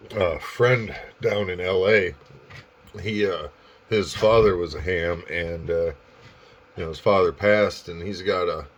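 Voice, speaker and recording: A man's voice: low voice, muffled recording. A man talking casually as an amateur radio operator, in a rambling, hesitant way. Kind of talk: casual ham radio chat.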